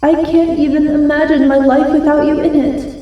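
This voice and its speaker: A woman voicing a shy giantess wolf girl in a slow, hesitant ASMR roleplay. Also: high voice, level tone, dull audio, natural voice. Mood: nervous, earnest. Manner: slow, hesitant, stammering when flustered. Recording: heavily echoing, distant-sounding voice.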